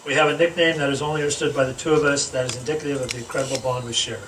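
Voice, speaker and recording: A man reading a comedic roast speech aloud to a gathering, teasing with deliberate pauses. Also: medium pitch, fairly even intonation, a bit bright. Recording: echoey room, distant mic, noisy background.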